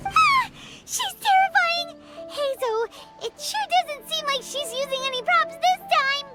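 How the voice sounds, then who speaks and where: A woman voicing a tiny, childlike, excitable fairy companion in a video game. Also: very high voice, unhurried. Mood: frightened. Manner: childlike, expressive, refers to self in third person. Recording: music behind the voice.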